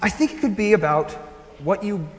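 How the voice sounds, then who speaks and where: A man's voice: sits high, animated, moderate pace, slight background noise. A man playing an awkward, earnest, kindhearted teenage boy in a stage musical, speaking hesitantly and rambling with stammers. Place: school auditorium staged reading. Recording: echoey auditorium, piano music behind.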